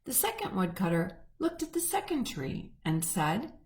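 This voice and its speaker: A woman reading a picture book aloud to children, gently and expressively. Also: medium pitch, huge pitch swings, a little slow. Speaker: church children's storyteller.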